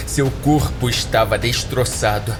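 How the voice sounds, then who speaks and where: Brazilian guy reading a scary story dramatically, medium pitch, music going behind him. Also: a little slow. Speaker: horror podcast narrator. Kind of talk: dramatic scripted narration.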